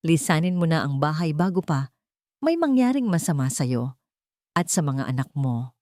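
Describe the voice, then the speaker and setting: A woman with a Filipino accent voicing a cold, powerful, threatening rich man in a narrated story, speaking deliberately and clearly. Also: sits low, sing-song, darkish tone, dry recording, clean studio sound. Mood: cold warning.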